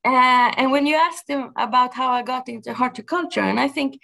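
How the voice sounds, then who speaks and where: A middle-aged woman with an Argentine Spanish accent gives a personal talk, her voice carrying a gravelly edge. She speaks thoughtfully, often pausing and restarting before she finds her words. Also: sits high, sharp tone. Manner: thoughtful, halting with frequent restarts and fillers. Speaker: gardener and project manager giving a talk.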